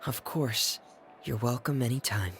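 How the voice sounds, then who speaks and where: An actor playing a somber, earnest young man in a video game, speaking in a measured, sincere way. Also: medium pitch, a bit bright, slight background noise. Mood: warm.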